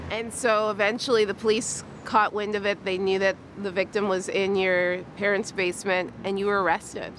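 A woman's voice: medium pitch, level tone, moderate pace, few pauses, background noise. Woman interviewer summarizing the story. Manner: leading, summarizing prompts. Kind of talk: interview questions. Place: outdoors on a city street by a graffiti wall.

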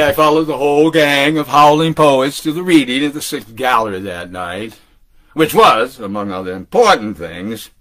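Older man, bright-voiced, performing a theatrical monologue. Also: on the high side. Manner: animated, halting delivery with chuckles and drawn-out words. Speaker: solo performer. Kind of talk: theatrical monologue in a beat storyteller's voice.

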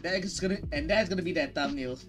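A man's voice: fairly high pitch, fast. A young man giving casual, unscripted reaction commentary on a headset mic, with hesitant, repetitive phrasing. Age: young adult. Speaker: reaction video host. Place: small room at home. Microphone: headset microphone. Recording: music behind the voice.

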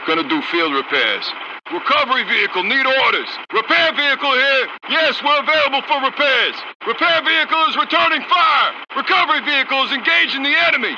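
A man's voice: very high voice, lo-fi sound, noisy background. An actor playing a dutiful, eager armored vehicle crewman, giving clipped military callouts for a video game. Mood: dutiful, ready. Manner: clipped, forceful military delivery.

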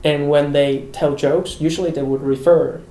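A young man, an international student, speaking English thoughtfully in an interview with soft laughs. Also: steady tone, moderate pace. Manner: thoughtful, gestures, laughs softly. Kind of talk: casual interview. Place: college room by windows. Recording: echoey room with faint rumble.

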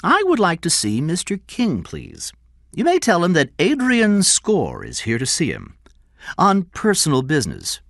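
Casting: oily small-time swindler for an audiobook, high swooping voice, insinuating, drawn-out politeness. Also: male voice, wildly swooping pitch, gravelly, a bit bright, dry recording, studio quality, exaggerated voice. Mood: oily, insistent.

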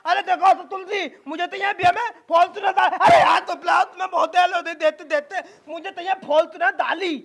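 A squeaky, shrill young bridegroom, demanding like a child, who garbles his words with a thick lisp. It is a pitched-up cartoon comedy voice. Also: big pitch swings, quick pace, few pauses, pushed and bright, heavily acted. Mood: eager, demanding. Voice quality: heavy lisp, garbled consonants. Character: childish, demanding young groom who cannot talk straight.

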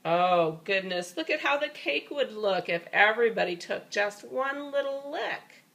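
Animated woman reading a storybook aloud. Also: a little high, a little slow, vocal fry. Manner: folksy, expressive, playful. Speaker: storybook reader for a child. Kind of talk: animated read-aloud with chatty asides.

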